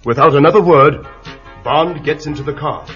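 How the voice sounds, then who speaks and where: A man's voice: a little high, normal speed, fairly bright tone. A man narrating a children's storybook adventure in a dramatic, urgent way. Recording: dull lo-fi tape with music.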